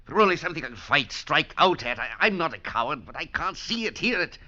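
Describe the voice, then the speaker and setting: An actor playing a haunted, tormented professor in a 1940s radio drama, speaking with intense anguish that breaks into outbursts. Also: male voice, on the high side, very animated, fast talker, bright, forceful tone, full character voice. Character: haunted male professor, scholarly, tormented and fraying. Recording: dull lo-fi vintage radio broadcast.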